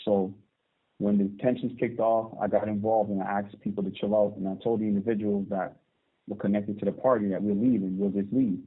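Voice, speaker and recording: A man in his thirties from Barbados, calling in from prison and telling his story calmly, with hesitant restarts. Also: fairly low pitch, a little fast. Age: 30s. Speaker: guest. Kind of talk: phone interview. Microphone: phone call. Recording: tinny phone line.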